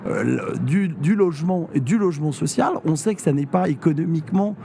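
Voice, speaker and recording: A man's voice: on the high side, animated, brisk, gravelly, mellow, slight background noise. A man, a district mayor from Normandy, answering in a radio interview, hesitant with many fillers but firmly emphatic. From Normandy, France.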